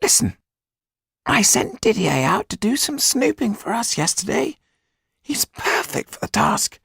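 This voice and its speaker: Husky, high, tetchy schemer. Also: male voice, big pitch swings, unhurried, fairly bright tone, no room sound, clean studio sound, full character voice. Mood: sly. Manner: tetchy, imperious. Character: sharp, tetchy Frenchman scheming in a revolutionary cafe.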